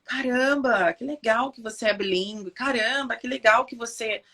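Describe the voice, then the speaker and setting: A woman from Brazil hosting an interview, talking casually with restarts and quoting others in lively asides. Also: fairly high pitch, moderate pace. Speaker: physiotherapist host. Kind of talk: conversational interview.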